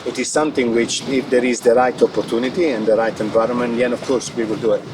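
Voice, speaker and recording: A man's voice: medium pitch, steady tone, fast. A man with an Italian accent, an executive giving an earnest interview at an outdoor event, often restarting phrases. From Italy. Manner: earnest, repeats and restarts phrases, non-native grammar. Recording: far from the mic with loud background noise.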